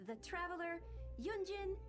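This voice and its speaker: A woman's voice: very high voice, expressive, measured pace. A woman voicing a young, polite official making formal announcements in a video game, courteous in manner. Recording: game music behind voice.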